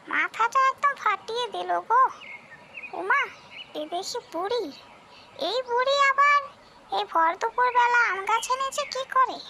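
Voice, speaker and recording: Piercingly high, creaky ghost girl. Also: animated, measured pace, pauses often, heavily acted. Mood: exasperated grumbling. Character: female tree ghost, regretful, easily exasperated, childlike high voice. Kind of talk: cartoon fairy-tale voice acting. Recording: noisy, birdsong ambience behind.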